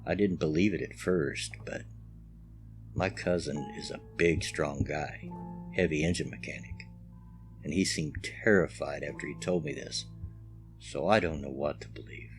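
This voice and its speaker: A man with an extremely deep voice reads scary stories in the first person. He works as a storyteller, with music playing clearly behind him. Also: unhurried. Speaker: story narrator.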